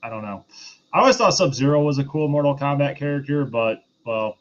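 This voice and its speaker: A man's voice: medium pitch, animated, fairly quick. A man chatting casually as a panelist on a review show, on a slightly muffled recording.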